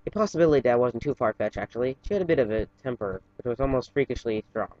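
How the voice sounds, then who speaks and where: A man's voice: mid-range voice, lively intonation, quick pace, dry recording. A man reading a story aloud as an amateur, informally, sometimes stumbling over words. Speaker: fan fiction reader for an online channel. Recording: dull, lo-fi home recording.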